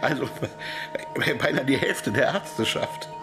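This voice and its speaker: An actor in a radio drama playing an evasive, anxious pharmaceutical executive held captive, speaking in a halting, explanatory way. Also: male voice, mid-range voice, expressive, music in the background. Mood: guarded, explaining. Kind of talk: naturalistic radio drama acting.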